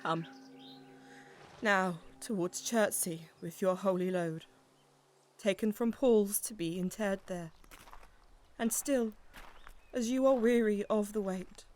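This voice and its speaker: A woman's voice: medium pitch, takes it slowly, pauses often, a little mellow, close to an everyday voice. A woman playing a grieving, defiant noble widow in a Shakespearean audio drama, with declamatory, emotional delivery. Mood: mournful.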